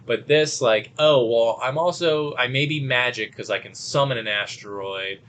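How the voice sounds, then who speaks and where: A man's voice: mid-range voice, normal speed, a bit bright, smooth. A man co-hosting a podcast, speaking in blunt, slangy review chat with some swearing. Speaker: podcast co-host.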